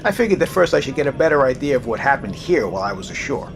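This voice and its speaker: Creaky-voiced, composed naval commander. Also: male voice, mid-range voice, big pitch swings, music in the background. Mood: businesslike. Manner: measured, authoritative. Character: composed, businesslike male naval commanding officer. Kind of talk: audio drama acting. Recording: clear background noise, ambience.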